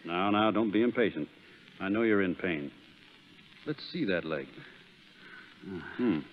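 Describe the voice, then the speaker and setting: An actor playing a kindly, patient Southern country doctor in 1930s radio drama, speaking plainly and unhurriedly. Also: male voice, low-pitched, lively intonation, measured pace. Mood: calm, patient. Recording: muffled, phone-like 1930s radio recording.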